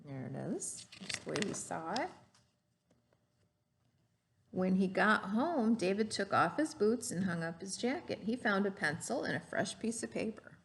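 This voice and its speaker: A woman's voice: mid-range voice, sing-song, a little slow, creaky, a little hiss or hum. A woman reading a story aloud to children like a teacher, adding explanations and questions for the listener. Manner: didactic, asks the listener questions.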